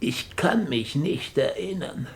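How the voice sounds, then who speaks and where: An actor playing a suave, gallant and evasive swindler with a theatrical manner in a radio play. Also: male voice, on the high side, lively intonation, takes it slowly, slightly rough, full character voice. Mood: evasive, weary. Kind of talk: German radio play dialogue.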